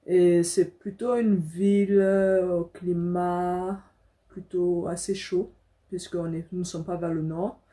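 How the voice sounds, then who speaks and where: A woman's voice: on the low side, flat delivery, slow pace, warm tone. A young adult woman from Africa telling a personal story casually to camera as a vlogger, indoors in a small room.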